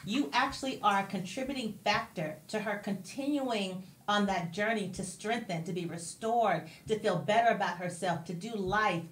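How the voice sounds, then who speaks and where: A woman's voice: medium pitch, normal speed, few pauses. Woman radio host, affirming and faith-minded, heard in an echoey room with faint hiss. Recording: roomy echo with faint background noise.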